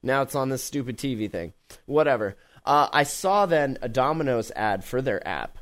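A man hosting a casual tech podcast, joking around and laughing often between quick remarks. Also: mid-range voice, lively intonation, a bit bright. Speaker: podcast host.

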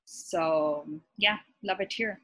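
Husky-voiced Pakistani woman physician. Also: medium pitch, takes it slowly, bright, forceful tone, poor audio. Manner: thoughtful, many fillers and restarts. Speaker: physician, guest. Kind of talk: casual interview talk.

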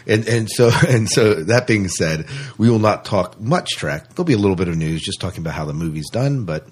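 A man's voice: fairly low pitch, lively intonation, fairly quick, husky. A man co-hosting a podcast in casual chat, with a joking, teasing manner. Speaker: podcast co-host.

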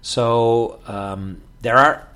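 A man whose first language is Portuguese, teaching English on his own podcast. He takes his time, drawing out each example word and filling pauses with little ums and yeahs. His voice sits at an ordinary middle pitch. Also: steady tone, pushed and bright, clean tone. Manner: unhurried explaining, stretches example words, many fillers. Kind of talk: solo podcast lesson.

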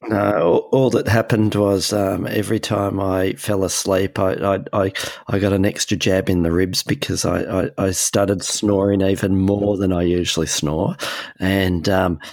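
A man's voice: low voice, level tone, a little slow, vocal fry, a little mellow, no echo. A man co-hosting a podcast, sounding congested from a cold, chatting casually with lots of ums and restarts. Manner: rambling, many ums and restarts. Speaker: podcast co-host. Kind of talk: casual chat. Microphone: podcast microphone.

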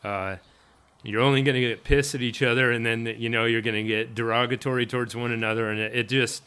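A man's voice: mid-range voice, fairly even intonation, fast talker, bright, forceful tone, clean tone. A man in a casual interview, a firefighter speaking reflectively, rambling with fillers and restarts. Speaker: firefighter guest.

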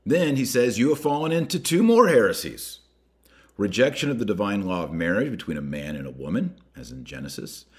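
A man hosting a podcast, reading a document aloud with emphatic, deliberate delivery and adding his own commentary. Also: fairly low pitch, big pitch swings. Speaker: podcast host.

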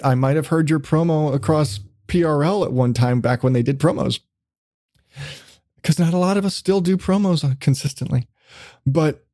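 Male podcast host, slightly high voice, dry close sound. Rambling, self-deprecating, sweary, conversational. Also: a little mellow, dry recording. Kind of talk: casual chat interview.

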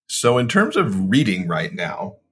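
A man's voice: on the low side, very animated, unhurried, fairly bright tone, husky. A man talking as a guest author in a casual interview, telling stories and acting out his own past reactions.

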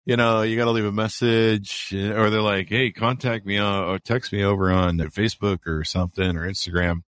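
A man's voice: fairly low pitch, flat delivery, moderate pace, a bit bright, smooth, dry recording, clean studio sound. A man hosting a podcast, talking casually with rambling asides and many fillers. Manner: rambling, chatty, many "you know" fillers. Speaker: podcast host. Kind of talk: casual interview chat with an ad read.

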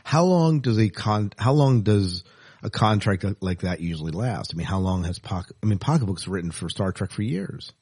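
A man hosting a casual podcast interview, speaking in a halting way and repeating phrases. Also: fairly low pitch, animated, a little mellow, husky, dry recording, studio quality.

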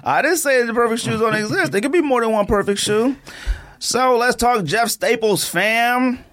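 An American man hosting a casual podcast debate, talking in an animated way and repeating his points insistently. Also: very high voice, normal speed. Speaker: podcast host.

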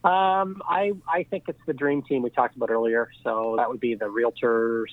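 A man's voice: medium pitch, fairly even intonation, normal speed, dry recording. Guy calling in on a phone line, sounds tinny and dry, lots of ums and uhs. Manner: rambling, many fillers and restarts. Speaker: podcast guest, real estate investor. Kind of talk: casual phone interview.

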